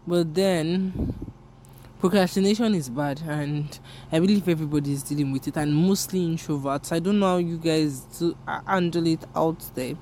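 A woman's voice: low-pitched, moderate pace, background noise. A young woman from Nigeria, whose first language is Yoruba, speaks with a Nigerian English accent. She hosts her own podcast in a rambling, candid, self-deprecating chat. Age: young adult. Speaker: podcast host. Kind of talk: casual solo podcast chat.

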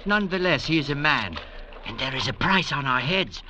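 An actor playing a languid, complaining young escaped convict, hesitant and uneasy, in the style of old radio drama. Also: male voice, on the high side, very animated, a little fast. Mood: wary. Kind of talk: 1950s radio drama acting. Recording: dull, noisy vintage radio recording.

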